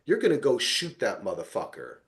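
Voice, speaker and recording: A man hosting a podcast, chatting casually about television in a rambling, animated way and swearing freely. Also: medium pitch, fairly quick. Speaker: podcast host. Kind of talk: casual TV recap discussion.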